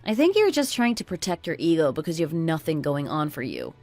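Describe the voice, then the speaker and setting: A woman playing a stubborn, sardonic working daughter-in-law in a radio drama, speaking in a measured, dry and pointed way. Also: low-pitched, very animated, darkish tone, no echo, soft background music, pitched below the performer's own voice, heavily acted. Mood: coolly cutting. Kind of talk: scripted radio drama dialogue.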